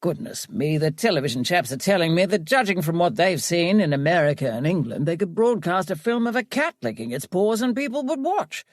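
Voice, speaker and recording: Audiobook role: pompous older male executive, performed by a woman, pontificating with animated swings of pitch. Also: a little fast, hardly any pauses, no room sound, clean studio sound. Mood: pompous, indignant. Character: pompous, pipe-smoking older male radio drama boss. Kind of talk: audiobook character voice.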